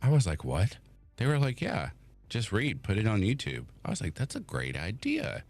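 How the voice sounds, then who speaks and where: Deep, lively-voiced man telling his story. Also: moderate pace, gravelly, no echo, faint music behind. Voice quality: voice praised as made for radio. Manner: animated storyteller, acts out quoted voices. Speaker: guest, story narrator. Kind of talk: casual chat on a podcast.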